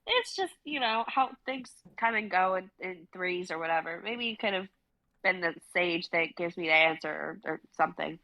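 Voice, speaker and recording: A woman's voice: on the low side, lively intonation, moderate pace, pushed and bright, no echo, poor audio. A woman reading the part of an anxious, searching young woman traveler in a stage play, hesitant and earnest. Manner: hesitant, earnest, self-dismissing.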